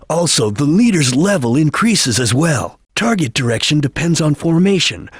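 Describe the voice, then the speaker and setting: Husky, serious ninja chameleon. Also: male voice, fairly high pitch, dry recording, clean studio sound, heavily acted. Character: serious, disciplined male chameleon ninja detective, calm and watchful. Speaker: video game character giving hints. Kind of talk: video game voice acting, instructional hints.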